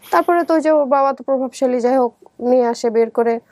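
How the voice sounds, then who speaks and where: A young adult woman with a Bangladeshi accent telling her life story in conversation, halting and often repeating herself. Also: sits high, a little mellow, dry recording.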